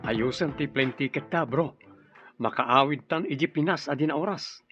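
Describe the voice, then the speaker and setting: A man playing an impatient, practical overseas worker in an Ilocano radio drama, brisk and challenging. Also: fairly high pitch, music in the background, lightly acted. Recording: dull, lo-fi audio.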